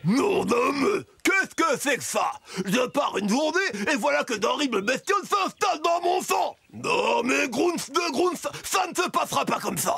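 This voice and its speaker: A man voicing a bad-tempered, blustering cartoon villain creature with a gravelly voice. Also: very high-pitched, sing-song, a little slow, hardly any pauses, a bit bright, faint music behind, heavily acted. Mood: outraged. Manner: blustering, exclamatory.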